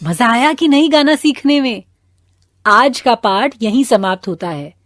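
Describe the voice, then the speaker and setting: A woman's voice: a little high, expressive, racing, dry recording, faint music behind, close to an everyday voice. A woman with an Indian accent playing a schoolteacher, instructing children in a scripted lesson with prompting questions. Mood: instructive, encouraging. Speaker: teacher presenting an English lesson for children.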